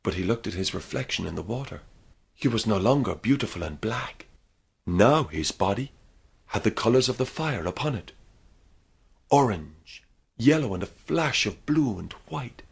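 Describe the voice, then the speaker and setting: A man with an Irish accent telling a folk tale with dramatic pauses, then chatting as a guest. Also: fairly low pitch, animated, gravelly, breathy edge. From Ireland. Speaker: storyteller. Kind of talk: storytelling and interview chat.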